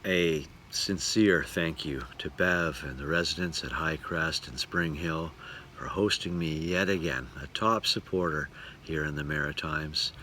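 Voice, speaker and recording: A man's voice: very deep voice, unhurried, sharp tone, slight background noise. A man narrating a casual travel vlog as a touring musician.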